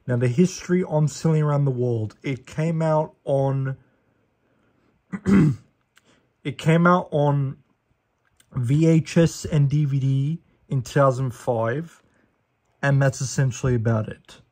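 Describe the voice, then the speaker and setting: A man's voice: medium pitch, steady tone, takes it slowly, long pauses, mellow. A young man casually reviewing a DVD in a small living room, listing facts and correcting himself, recorded on a handheld phone. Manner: fact-listing, self-correcting, chatty with fillers. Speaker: DVD collector reviewing a disc. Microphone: phone camera held over the disc.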